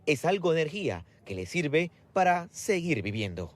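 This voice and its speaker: A man's voice: fairly high pitch, sing-song, moderate pace, slight background noise. A male field reporter, reporting live outdoors at a border bridge and interviewing people.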